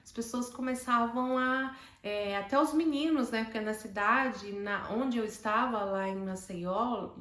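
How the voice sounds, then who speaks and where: Northeastern Brazilian woman host, higher voice, hesitant personal storyteller. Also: a bit bright, reverberant. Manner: hesitant, many fillers and restarts.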